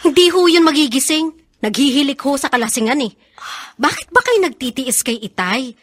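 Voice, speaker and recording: An actress playing an insistent, resentful young daughter in a Filipino radio drama. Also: female voice, high voice, expressive, moderate pace, fairly bright tone, no room sound, clean studio sound. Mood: urgent, resentful. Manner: insistent, youthful. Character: defiant young daughter urging escape from drunken father.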